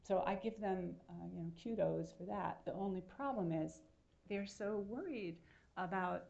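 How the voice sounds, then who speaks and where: A woman's voice: mid-range voice, normal speed, gravelly, a little mellow. A woman researcher answering audience questions at a seminar, explaining at length and checking in with her listeners. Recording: dull lo-fi sound.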